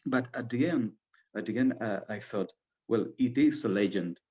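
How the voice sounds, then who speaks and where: A man's voice: medium pitch, normal speed, a little mellow. A man from Spain with a Spanish accent, a playwright answering questions in a second language, searching for words. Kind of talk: halting Q&A answer.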